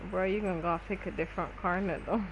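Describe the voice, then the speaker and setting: A woman's voice: fairly low pitch, fast, background noise. A woman chatting casually and in slang with co-op partners while playing a game, often repeating phrases. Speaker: gaming video creator. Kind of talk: casual gameplay chat with co-op partners.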